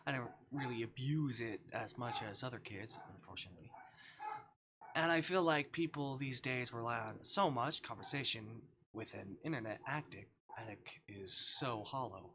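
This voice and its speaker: A man's voice: mid-range voice, expressive, normal speed. A man livestreaming a game casually, reading visual novel dialogue aloud with offhand, murmured asides. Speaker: streamer. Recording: clear background noise.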